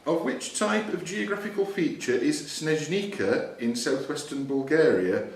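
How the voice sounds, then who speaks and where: A man's voice: a little high, animated, unhurried. A man acting as quizmaster, reading quiz questions aloud to a live room in a club. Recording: roomy, distant microphone, faint background noise.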